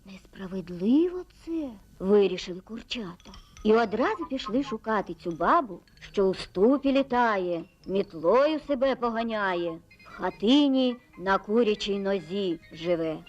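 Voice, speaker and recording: A woman narrating an animated fairy tale in storybook style, on an old film soundtrack. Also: mid-range voice, measured pace, highs cut off, faint music behind.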